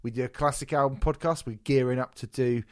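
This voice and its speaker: A man hosting a casual music podcast, jokey, sweary and self-deprecating, his voice a little hoarse from a sore throat. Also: medium pitch, steady tone, fast talker, no echo, clean studio sound. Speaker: podcast host.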